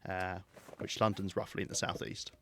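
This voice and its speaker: A man with a southern English accent, chatting casually and self-mockingly while streaming a game, with a calm, soothing voice. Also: low-pitched, normal speed, slight background noise. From southeast England. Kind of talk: casual gameplay chat.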